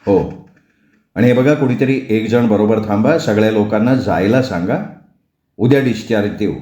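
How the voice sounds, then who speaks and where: A calm middle-aged village doctor speaks in a low, steady voice, as a man puts him on. He soothes and reassures. A roomy echo surrounds him. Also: normal speed, close to an everyday voice.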